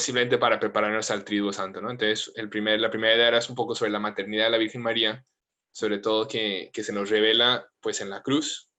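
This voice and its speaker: Thirties priest with a low voice and a mixed accent, gentle and informal, heard over a video call. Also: male voice. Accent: mixed Latin American and Spanish. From United States, family from Colombia. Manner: gentle, informal, with frequent fillers.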